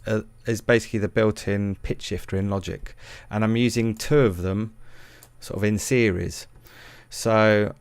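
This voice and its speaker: A man's voice: on the low side, clean tone, dry recording. A man narrating a music production tutorial in a casual, hesitant, self-deprecating way.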